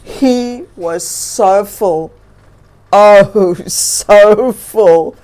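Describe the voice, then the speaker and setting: A woman reading a story aloud for children as host, expressive and encouraging, often putting questions to listeners. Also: mid-range voice, extremely slow, poor audio.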